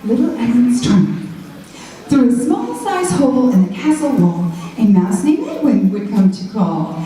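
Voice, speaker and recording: A woman narrates a rhyming fairytale for children with theatrical flair. Her voice is soft-toned and very animated in pitch. It comes from a distance in a heavily echoing hall, with faint music. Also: measured pace. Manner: dramatic, expressive. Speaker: children's storyteller. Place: school show before children.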